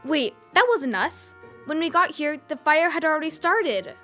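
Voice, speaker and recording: A woman's voice: sits high, lively intonation, normal speed, fairly bright tone, faint music behind. A woman playing a candid young child adventurer who talks informally and a little hesitantly in a children's audio drama. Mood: earnest, defensive.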